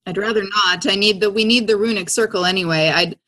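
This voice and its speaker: A woman improvising in character on a tabletop roleplay podcast, speaking in a measured, somewhat formal manner. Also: mid-range voice, sharp tone. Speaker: player in an actual-play audio drama podcast.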